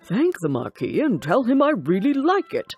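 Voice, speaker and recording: A woman's voice: fairly high pitch, huge pitch swings, moderate pace, darkish tone, breathy edge, soft background music, voice pushed up, exaggerated voice. A woman voicing a gracious king in a children's storybook, with a regal, declarative manner.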